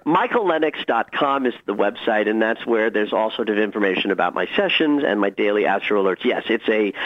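Male astrologer guest, enthusiastic and emphatic, very lively intonation, slightly muffled recording. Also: medium pitch. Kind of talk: radio interview, conversational advice.

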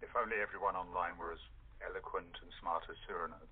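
A man's voice: sits low, steady tone, fast talker, breathy edge, phone-quality sound. A man chatting casually and jokingly as a guest in a cast interview. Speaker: cast member being interviewed.

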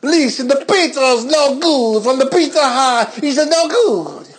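A man voicing an excitable Italian wrestler who rants indignantly in a put-on accent. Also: extremely high pitch, measured pace, a little hiss or hum, voice pushed up. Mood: ranting.

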